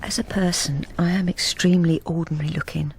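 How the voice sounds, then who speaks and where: An actress playing a timid, self-effacing, lonely British wife in a radio drama, confiding in a plain, hesitant, apologetic way. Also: female voice, on the low side, steady tone, brisk, a little mellow, breathy edge, rough recording. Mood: wistful confiding. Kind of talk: radio drama with intimate first-person narration.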